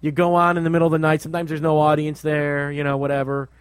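A man's voice: a little high, monotone, fast, clean tone, dry recording. A man hosting a radio show, chatting casually with opinions in a blunt, repetitive way. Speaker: radio show host and comedy night host.

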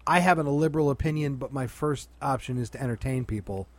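A man's voice: mid-range voice, quick pace, no echo. A man co-hosting a radio show, giving casual conversational commentary.

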